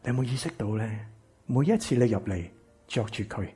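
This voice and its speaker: A male actor plays an embittered man in a Cantonese radio drama with a Hong Kong accent. His voice is very husky and breathy, with a wide, intense swing in pitch, over music. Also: animated, brisk, warm tone. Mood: calm, measured. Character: embittered, wounded rich man, therapist, estranged from family. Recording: music behind the voice.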